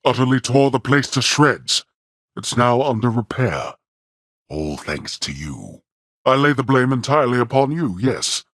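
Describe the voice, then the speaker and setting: Imperious god of the dead, game role. Husky, disdainful, formal archaic delivery, highly animated, very dry close sound. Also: male voice, measured pace, dry recording, heavily acted. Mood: scornful blame. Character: stern, imperious god of the underworld, contemptuous father. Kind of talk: theatrical video game character acting.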